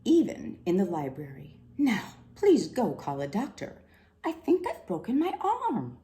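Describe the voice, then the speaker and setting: A woman voicing a kind but firm woman head librarian in a storybook, with a measured, instructive manner. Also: medium pitch, huge pitch swings, warm tone, voice pushed up. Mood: gently firm. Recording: roomy echo, faint background noise.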